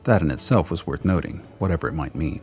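A man with a low, deep voice narrates a scripted audio drama. Music plays underneath his storytelling. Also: expressive, quick pace. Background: music bed.

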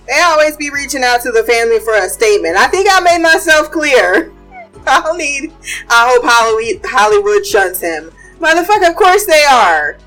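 A high-pitched woman hosts a casual chat show, talking in a bright, forceful tone. She is chatty and blunt, swears freely and moves quickly from gossip to trivia. Faint music runs underneath. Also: expressive, low-quality recording. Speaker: podcast host. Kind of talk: casual pop culture chat and fun facts.

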